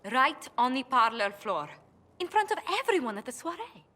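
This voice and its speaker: A poised courtly diplomat. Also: female voice, high voice, very animated, moderate pace, pushed and bright, full character voice. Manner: polished, formal and gracious. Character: poised courtly female noble diplomat, gracious. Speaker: ambassador and diplomat.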